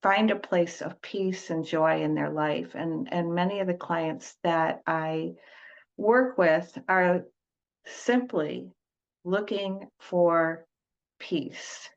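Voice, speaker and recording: An older woman from Detroit, a guest in an interview, talking in a slow, halting way with many fillers and restarts. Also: fairly low pitch, gravelly. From Detroit, United States. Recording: dull lo-fi audio.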